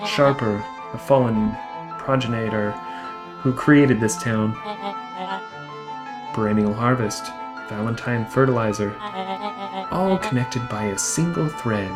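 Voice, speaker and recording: A woman voicing a smug, scheming villain in a young boy's body, speaking pompously in crisp, measured syllables for a video game. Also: extremely low pitch. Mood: smug, commanding. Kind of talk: theatrical video game character acting. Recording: music behind the voice.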